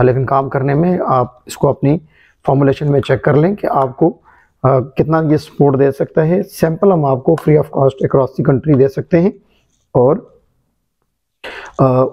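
A man explaining a formulation step by step, unhurried and hesitant, in an indoor workshop. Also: mid-range voice, dark tone. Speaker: chemistry channel presenter. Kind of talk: hands-on formulation demonstration.

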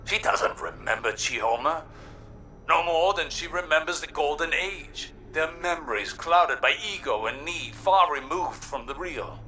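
An actor playing an earnest, philosophical ally who gives grave, poetic counsel in dramatic scripted game dialogue. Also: male voice, a little high, expressive, unhurried, sharp tone, faint music behind, low-quality recording. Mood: earnest, mournful.

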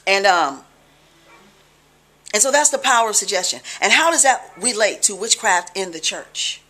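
American woman, deliberate informal teaching talk. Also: medium pitch, big pitch swings, sharp tone. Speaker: religious video blogger. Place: small living room, indoors on a couch.

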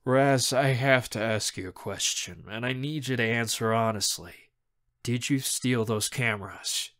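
A narrator voicing a tired, stern but caring uncle in a flat, measured way for an audiobook story. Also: mid-range voice, close to an everyday voice. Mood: weary, serious.

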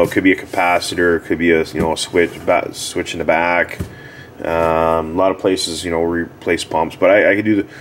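A man giving a casual, unscripted how-to as a repair technician, plain-spoken and halting, often repeating himself. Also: deep voice. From Canada. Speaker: hot tub repair technician giving a tutorial. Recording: clearly audible background noise.

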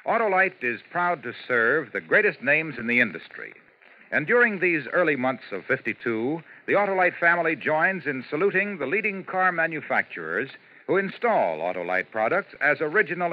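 An American man reading sponsor announcements in formal, polished 1950s radio style. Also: fairly high pitch. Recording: muffled 1950s radio recording.

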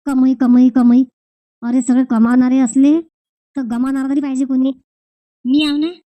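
A woman's voice: sits high, little pitch movement, moderate pace, warm tone, no echo, lightly acted. A woman voicing a lazy, sardonic husband muttering in a comedy cartoon. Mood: grumbling. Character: lazy, grumbling husband who refuses to earn. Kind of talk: comic cartoon voice acting.

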